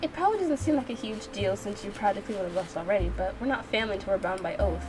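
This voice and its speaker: Woman reading as a serious, measured mafia boss. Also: medium pitch, lively intonation, crowd noise, close to an everyday voice, poor audio. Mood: firm, measured. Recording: music behind the voice.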